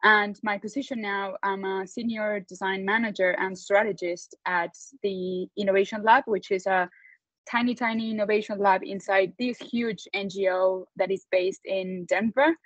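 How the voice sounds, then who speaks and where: Panel guest: young Spanish-native woman from Mexico City, loose and chatty, flat dry video-call sound. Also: mid-range voice, moderate pace, dry recording, lo-fi sound. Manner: relaxed, frequent fillers and laughs. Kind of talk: casual online panel talk.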